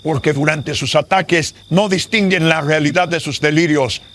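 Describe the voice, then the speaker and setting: A man's voice: on the high side, normal speed, fairly bright tone, slight background noise, voice pushed up, close to an everyday voice. A man playing a grim, secretive father in a horror radio drama, solemn and theatrical. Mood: grave. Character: grim, secretive father guarding a terrible house.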